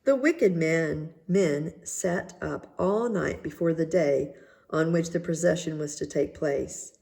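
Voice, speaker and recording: Woman narrating a storybook, lowish creaky voice, unhurried and deliberate. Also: mellow. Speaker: story reader.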